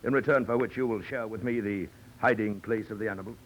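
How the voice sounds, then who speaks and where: Low-pitched male actor as titled big-game hunter villain: grandiloquent, silkily menacing, vintage radio drama with noisy old sound. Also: fairly even intonation, fast, natural voice. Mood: insistent. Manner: florid, grandiloquent, mock-courteous. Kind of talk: 1940s radio drama acting.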